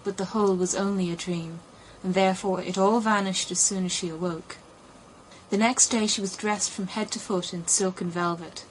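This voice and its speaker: A woman narrates a children's fairy tale in a mid-pitched voice. Her scripted reading is expressive, and faint noise sits behind it. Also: moderate pace, poor audio. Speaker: audiobook narrator. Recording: clear background noise.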